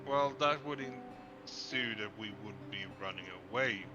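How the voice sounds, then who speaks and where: A man's voice: medium pitch, measured pace. Joking, sleepy man on a muffled call. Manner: joking, rambling, stumbles over words. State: sleepy, awake early in the morning. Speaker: friend on a livestream call. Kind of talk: casual chat. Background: guitar music.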